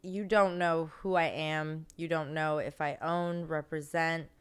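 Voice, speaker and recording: This is a woman with a notably low, smooth and resonant voice. She co-hosts an informal chat and talks in a loose, halting way. Her sentences often branch into little asides. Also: steady tone. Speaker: podcast co-host, cannabis industry professional. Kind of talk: casual chat.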